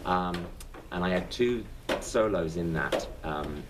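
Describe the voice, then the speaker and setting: A man's voice: low voice, animated, slow, far from the mic, background noise. A man with a London accent, a stage actor telling anecdotes in a casual interview, often restarting his sentences. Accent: London English. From England. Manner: chatty anecdotal storyteller with frequent restarts.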